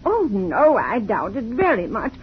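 A woman's voice: a little high, wildly swooping pitch, a little slow. An actress playing an anxious, grieving woman in a 1950s radio drama, with a dull vintage sound and faint hiss. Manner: fretful and tearful.

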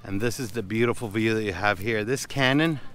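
A man's voice: lowish voice, a little fast. A man, a boat captain casually narrating a walking tour outdoors and talking straight to his viewers. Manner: relaxed, conversational, addresses viewers directly. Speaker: fishing boat captain and vlogger. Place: outdoors on a small island.

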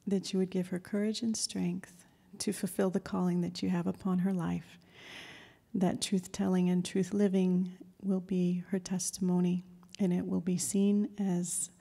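A woman's voice: lowish voice, vocal fry, mellow, slightly rough. A middle-aged woman moderating a public event and leading it, speaking into a handheld microphone on an auditorium stage with long reverb.

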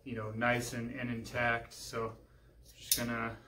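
An American man in his thirties casually talking through a DIY renovation, plain-spoken and halting as he thinks aloud. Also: medium pitch, monotone, sharp tone. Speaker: DIY vlogger. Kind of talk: casual hands-on renovation walkthrough. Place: small empty bedroom indoors. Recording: roomy echo, faint hiss and handling clicks.

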